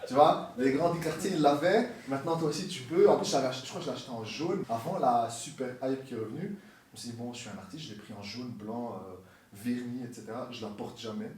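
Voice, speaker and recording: A man chatting casually in an interview as a musician guest, relaxed and mixing in English slang, recorded in a sneaker store. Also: medium pitch, quick pace. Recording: echoey store from far off.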